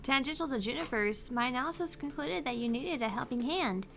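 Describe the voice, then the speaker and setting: A woman's voice: high-pitched, animated, a little fast, creaky, noisy background. A woman reading the lines of a cheerful female AI phone assistant aloud, earnest and a little pleading. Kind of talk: reading game dialogue aloud.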